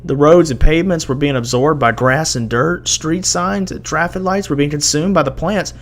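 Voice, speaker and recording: Gravelly man reading a horror story. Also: fairly high pitch, moderate pace. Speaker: amateur horror story narrator. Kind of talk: dramatic first-person creepypasta reading. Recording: noisy amateur home recording.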